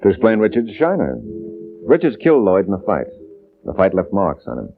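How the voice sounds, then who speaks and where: An actor playing a tough, wisecracking private detective in a 1950s radio drama, with a clipped, wry delivery. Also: male voice, low-pitched, huge pitch swings, brisk, soft background music, heavily acted. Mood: wry, relaxed. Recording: noisy, narrowband old radio recording.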